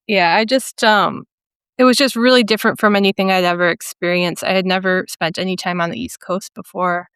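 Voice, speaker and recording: A young woman from central Minnesota with a Minnesota accent, a painter talking thoughtfully in an interview with many pauses and ums. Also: medium pitch, fairly even intonation, a bit bright, clean tone, no echo, clean studio sound. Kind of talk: casual interview conversation.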